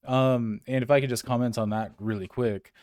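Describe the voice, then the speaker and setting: A man's voice: mid-range voice, steady tone, brisk, a little mellow, dry recording, clean studio sound. A man co-hosting a casual gaming podcast, reading listener emails in a rambling, self-correcting way and chuckling often.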